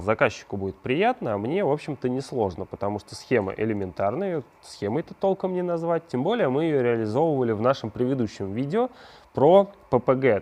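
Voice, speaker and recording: A man's voice: medium pitch, a little mellow. A man hosting a craft channel, explaining a prop build step by step in a conversational way and posing questions to the viewer. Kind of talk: hands-on prop-making tutorial narration.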